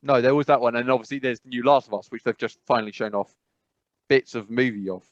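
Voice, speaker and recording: A man's voice: mid-range voice, quick pace, no echo, lo-fi sound. A man co-hosting a podcast in casual chat, rambling and often correcting himself mid-sentence. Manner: rambling, self-correcting, frequent "I must be honest". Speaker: podcast co-host.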